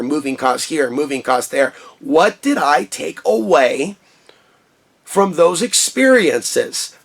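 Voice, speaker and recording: A man with a booming voice talking through a personal vlog monologue in an impassioned, ranting way from his shed studio. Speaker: vlogger.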